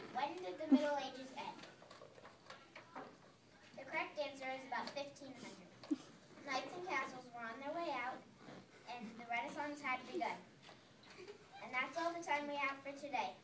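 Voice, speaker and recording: A brisk game show host played by a woman in an amateur readers' theater history quiz. The voice is high, heard from far off with noticeable noise around it. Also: natural voice. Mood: explanatory. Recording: echoey, noisy room, distant microphone.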